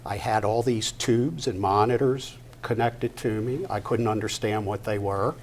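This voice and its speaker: An older man giving a personal testimony to an audience at a live event, halting and deliberate, pausing mid-sentence. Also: lowish voice, fairly even intonation, darkish tone. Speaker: guest speaker at a patient discussion event. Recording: noticeable background noise, live event.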